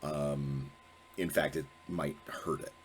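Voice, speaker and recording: A low-pitched man talks casually to camera as host of his own show. His voice is stuffy and sniffly from a cold, and he rambles, restarting phrases and filling gaps with ums and uhs. A faint hum sits behind him. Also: steady tone, takes it slowly. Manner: rambling, self-correcting, many fillers. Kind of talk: casual solo video talk.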